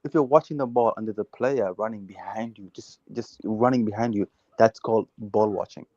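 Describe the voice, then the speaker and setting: A man's voice: lowish voice, moderate pace, mellow, dry recording, lo-fi sound. A man from Uganda hosting a heated casual football debate, insistently repeating words and restarting his sentences.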